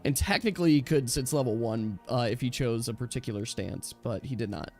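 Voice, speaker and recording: A man running a tabletop game, explaining rules casually in a chatty, halting way. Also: medium pitch, darkish tone, soft background music. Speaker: game master.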